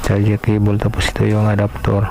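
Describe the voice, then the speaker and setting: A man from the Philippines hosts a casual unboxing vlog, pausing on fillers as he speaks directly to his viewers. His low voice stays almost completely flat in pitch. Music plays clearly underneath. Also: poor audio. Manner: hesitant, filler-heavy, talks directly to viewers. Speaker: vlog host.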